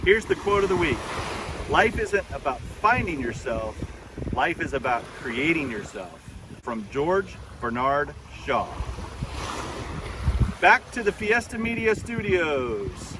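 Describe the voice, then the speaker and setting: A man's voice: fairly high pitch, big pitch swings, slow pace. A man presenting an upbeat on-location video segment outdoors by the sea, in a promo-style announcer delivery. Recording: windy outdoor audio, from a distance. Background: wind and water noise.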